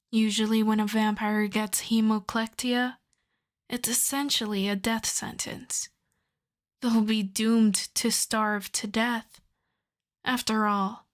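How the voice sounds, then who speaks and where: Woman acting a slow, seductive, possessive vampire woman. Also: a little high. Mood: sated, fascinated. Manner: slow, intimate, coaxing, slipping into breathy whispers. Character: possessive, seductive yandere female vampire, smugly commanding. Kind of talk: ASMR roleplay audio drama.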